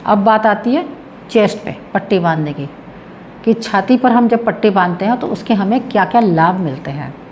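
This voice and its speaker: Woman doing a health tips demo, mid pitch, explains slow and repeats stuff, faint room hiss. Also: darkish tone. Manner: explains with repetition while demonstrating. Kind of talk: demonstration lesson.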